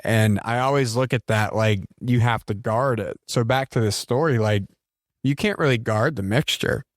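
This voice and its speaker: A man co-hosting a podcast in casual chat, a flight instructor who talks in a relaxed, joking way with many fillers. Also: medium pitch, moderate pace, no echo, clean studio sound.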